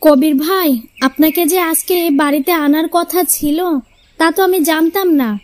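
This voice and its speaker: A crafty young housewife from a cartoon fairy tale, acted by a woman, with an extremely high voice. She talks in a teasing, pointed way, like a cheeky daughter-in-law. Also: steady tone, moderate pace. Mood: mock-sweet.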